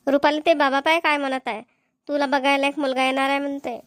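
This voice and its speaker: Lady doing a naggy village mom in a cartoon, high pitched, dry sound, rural vidarbha marathi accent. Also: little pitch movement, fast talker, dry recording, close to an everyday voice. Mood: nagging. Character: nagging, questioning middle-aged village mother. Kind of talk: amateur cartoon comedy-drama dubbing.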